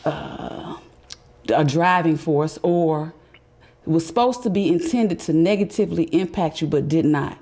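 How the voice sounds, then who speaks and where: Lowish-voiced woman from chicago hosting a podcast, chatty and encouraging. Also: a little slow, mellow. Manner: conversational, reflective, encouraging. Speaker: podcast host. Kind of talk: casual interview.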